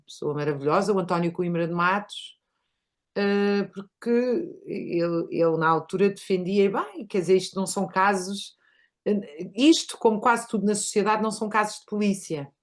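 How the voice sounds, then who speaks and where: A woman's voice: medium pitch, moderate pace. A woman hosting a conversation, making her points in an articulate, argumentative way. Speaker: host. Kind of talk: casual conversation.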